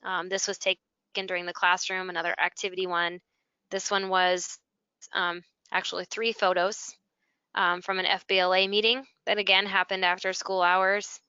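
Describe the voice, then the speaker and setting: A woman's voice: fairly low pitch, flat delivery, moderate pace, sharp tone, clean tone, no room sound, dull audio. An American woman explaining things conversationally, walking through examples like a guest presenting in a webinar. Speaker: school technology integration specialist, webinar guest. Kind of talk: conversational software walkthrough.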